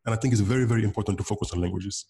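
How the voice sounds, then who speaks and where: A man's voice: lowish voice, rapid-fire, creaky, dark tone, a little hiss or hum. A man from Senegal whose first language is Wolof, a guest on an interview, talking casually with many fillers and restarts. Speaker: interview guest, bitcoin developer.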